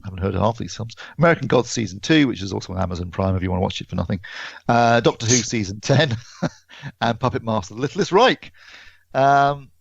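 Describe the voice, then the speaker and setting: Male film podcaster, low gravelly voice, halting casual delivery, dull recording. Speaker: podcast co-host and film reviewer. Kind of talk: casual film release chat. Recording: dull, roomy lo-fi podcast audio.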